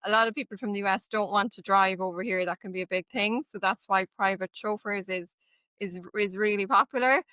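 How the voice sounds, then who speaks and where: A woman's voice: mid-range voice, steady tone, a little fast, pushed and bright, no echo. A woman with an Irish accent, guest on an interview, explaining things in a chatty way with many "like" and "um" fillers. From Ireland. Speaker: guest, walking tour operator. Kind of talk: casual interview chat.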